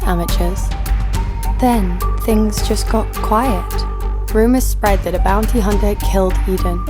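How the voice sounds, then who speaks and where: A cynical bounty hunter played by a woman tells her own story in an audio drama. She is blunt, foul-mouthed and sardonic, with a mid-pitched voice. Music plays clearly behind her. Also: close to an everyday voice. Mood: wry, incredulous. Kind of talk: first-person audio drama narration and dialogue.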